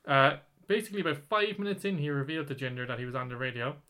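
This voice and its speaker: A man's voice: a little high, racing, pushed and bright. A man co-hosting a podcast, reading out a news story in casual chat, rambling with many restarts. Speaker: podcast co-host.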